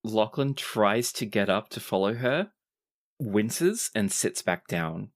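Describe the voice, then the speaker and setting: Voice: mid-range voice, expressive, slow pace. Improvising game master narrating a tabletop adventure.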